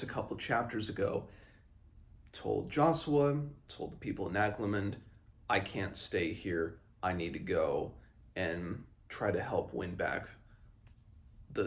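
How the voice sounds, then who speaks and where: A man hosting a read-along, reading a fantasy novel aloud and adding informal recap, measured in the reading and hesitant in his comments. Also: low-pitched, vocal fry. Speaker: book read-along host.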